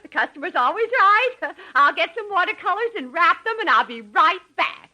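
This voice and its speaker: An actress playing an excitable saleswoman, a clerk's wife, in 1950s radio comedy. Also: very high voice, normal speed, lo-fi sound, full character voice. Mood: exasperated. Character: shop clerk's wife, excitable, soothing, easily exasperated saleswoman.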